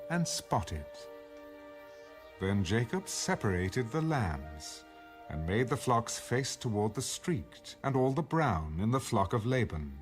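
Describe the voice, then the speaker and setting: A man narrating scripture for a dramatized audio Bible in a measured storytelling manner. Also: on the low side. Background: background music.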